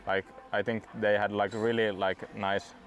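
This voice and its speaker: A young man whose first language is Swedish, chatting in a relaxed interview and padding his sentences with "like". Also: lowish voice. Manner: relaxed, fills every pause with "like". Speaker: guest disc golf player. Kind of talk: casual interview chat.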